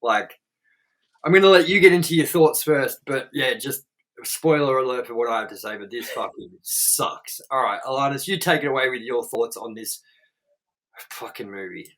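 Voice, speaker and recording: Young male host, mid-pitched, blunt and sweary, casual review banter. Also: normal speed, fairly bright tone, rough recording. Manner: swears a lot, blunt and frank.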